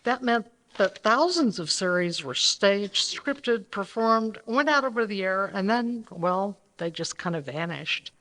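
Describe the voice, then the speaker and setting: A woman with a medium-pitched voice speaks as co-presenter at a live stage event. Her delivery is semi-scripted yet conversational, explaining things to the audience. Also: expressive, measured pace, hardly any pauses, a bit bright. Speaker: co-presenter of a radio re-creation troupe.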